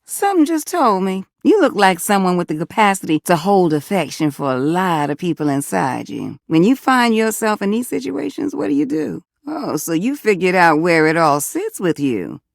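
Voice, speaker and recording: Flirtatious female game character, American accent, gravelly creak, very animated pitch, folksy, teasing, sassy, close dry sound. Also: moderate pace, few pauses, no echo. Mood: curious, warm. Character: sassy, flirtatious female household object, hot and confiding. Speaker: voice actor in a dating simulator game.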